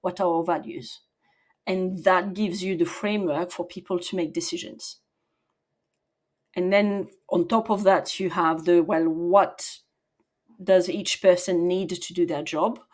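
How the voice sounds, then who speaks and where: A woman in her forties from the south of France, speaking as a podcast guest, thoughtful and quick to laugh. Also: lowish voice, fairly even intonation, a little mellow. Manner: thoughtful, laughs easily, restarts sentences. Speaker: guest, people and operations leader. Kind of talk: podcast interview.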